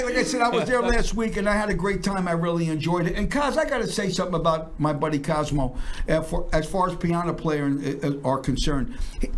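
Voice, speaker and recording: Rambling high-voiced showman host. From South Philadelphia. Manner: boastful, rambling, jokey showman. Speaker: talk show host. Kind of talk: casual promotional chat.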